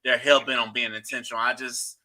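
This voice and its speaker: A man's voice: medium pitch, flat delivery, brisk, pushed and bright. A man hosting a podcast, talking loosely in casual chat and swearing freely. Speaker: podcast host.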